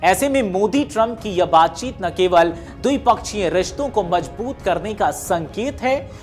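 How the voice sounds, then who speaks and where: A woman reading scripted news narration in a formal, measured newsreader delivery. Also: mid-range voice, lively intonation. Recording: music behind the voice. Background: background music.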